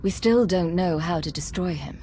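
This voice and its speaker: Woman acting a composed, lowish-voiced agent. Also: moderate pace, mellow, a little hiss or hum, lightly acted. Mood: serious. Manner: crisp, businesslike, controlled. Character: cool, composed female agent and military commander. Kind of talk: video game cutscene acting.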